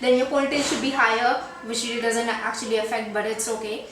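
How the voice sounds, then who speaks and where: A woman's voice: a little high, flat delivery, quick pace, a bit bright. A young woman from India with an Indian English accent touched by Trinidad, chatting through a makeup tutorial in a small bedroom, pleading and full of fillers. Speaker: beauty vlogger. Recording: roomy, distant mic with background noise.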